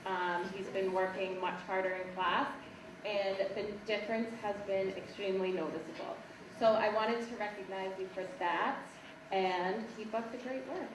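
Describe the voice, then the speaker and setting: A woman teacher giving brisk, lightly teasing praise to students at a school assembly. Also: mid-range voice, steady tone. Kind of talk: award speech at a school assembly. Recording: echoey hall, distant mic, background noise.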